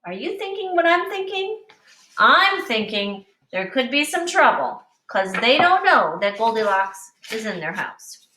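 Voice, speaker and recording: Woman, schoolteacher, lively swooping read-aloud, highish voice, explaining to kids, distant roomy mic. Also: a little hiss or hum. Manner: animated, deliberate, explaining to children. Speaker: teacher reading aloud to young children. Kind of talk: expressive picture-book read-aloud with teaching asides. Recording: echoey room, distant mic.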